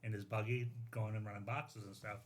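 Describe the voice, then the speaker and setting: A man's voice: lowish voice, level tone, fairly quick. A man telling an anecdote in casual, informal talk, peppered with "like" and quoted dialogue.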